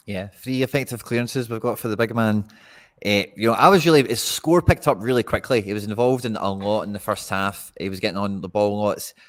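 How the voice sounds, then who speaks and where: A man's voice: lowish voice, brisk. A man co-hosting a fantasy football live stream, casually going through player stats. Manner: steady, reads out player statistics. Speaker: fantasy football podcast co-host.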